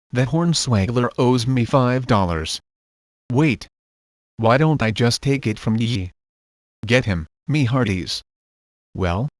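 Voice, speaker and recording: An actor playing a sly, swaggering pirate villain in a cartoon game, with a theatrical, wheedling pirate brogue. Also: male voice, mid-range voice, dry recording, studio quality. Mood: sly, menacing. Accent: stereotypical pirate brogue. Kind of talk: video game character acting.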